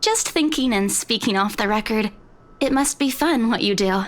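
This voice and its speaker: A woman voicing a young, eager estate agent, high-voiced. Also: lively intonation, moderate pace, natural voice. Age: young adult. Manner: eager, defensive, a bit flustered. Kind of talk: video game voice acting.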